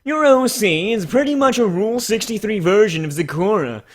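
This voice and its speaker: A man performs a stern male critic for a comic dramatic reading. The voice is on the higher side, and the delivery is formal and articulate, handing down measured criticism with deliberate care. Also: expressive. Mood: formal, critical.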